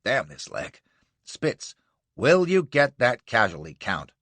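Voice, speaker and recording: A man's voice: medium pitch, huge pitch swings, slow pace, a bit bright, no room sound, exaggerated voice. A man voicing a wounded young American commander who speaks in a snapping, staccato way, with an American accent. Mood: brusque.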